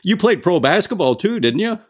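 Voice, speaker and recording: A man hosting a sports radio interview in a conversational way, pausing now and then as he frames his questions. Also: high-pitched, very animated, breathy edge. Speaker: sports show host, interviewer.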